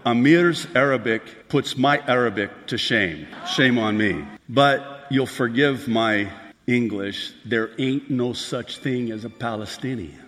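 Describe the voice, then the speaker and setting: A man's voice: mid-range voice, slow, a bit bright, slight background noise. A man preaching a prophecy sermon as pastor, emphatic and building to raised outbursts, recorded in a large hall. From Lebanon. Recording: long hall reverb.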